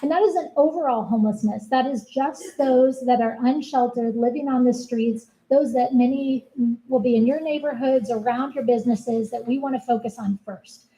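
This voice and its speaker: A soft-spoken woman walks a community meeting through a city plan. Her voice sits high and is very bright. She talks steadily and earnestly. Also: moderate pace, few pauses, rough recording. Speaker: city homelessness program manager. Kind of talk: public presentation at a town hall.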